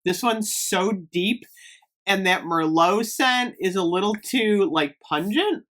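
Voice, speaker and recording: Chatty slightly hoarse woman vlogger. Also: medium pitch, animated, slow pace, fairly bright tone. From Northeast Ohio. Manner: chatty, lots of "like" and "um" fillers. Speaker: beauty and fragrance vlogger. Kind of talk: casual chat to camera.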